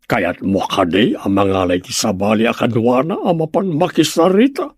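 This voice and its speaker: A man's voice: mid-range voice, lively intonation, moderate pace, slightly rough, no room sound, studio quality. A man with a Filipino Ilocano accent acting a patient family man in an audio drama, coaxing and conversational. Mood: reasoning. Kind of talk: radio drama acting in dialogue.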